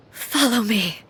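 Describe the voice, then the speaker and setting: An actress playing a stern, resolute warrior goddess in a video game, speaking in a formal, grave and measured way. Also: female voice, a little high, a bit bright, a little hiss or hum, full character voice. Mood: resigned, resolute.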